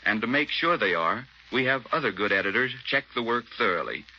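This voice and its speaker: A man's voice: medium pitch, animated, brisk, bright, forceful tone. An American man, an army officer, giving clipped, matter-of-fact scripted answers in a 1940s radio interview. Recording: muffled 1940s radio, faint noise.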